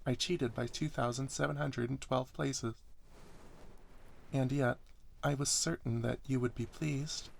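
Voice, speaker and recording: Guy doing a polite cheerful robot girl for a story reading, higher pitched, earnest and chatty, sorry about everything. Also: fairly even intonation, measured pace, vocal fry. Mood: earnest, chattering.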